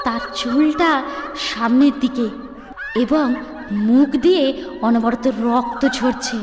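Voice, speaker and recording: A woman's voice: a little high, slow pace, a little mellow. A woman narrating a scripted horror story in a dramatic, suspenseful manner, phrase by phrase. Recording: echoey long reverb over clear music. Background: background music.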